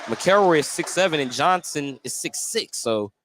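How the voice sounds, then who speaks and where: A man doing live basketball play-by-play for radio, in clipped descriptive phrases that lift with excitement on big plays. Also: fairly high pitch, normal speed. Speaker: play-by-play radio sports announcer. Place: indoor basketball gym, courtside. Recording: noisy live gym ambience behind commentary.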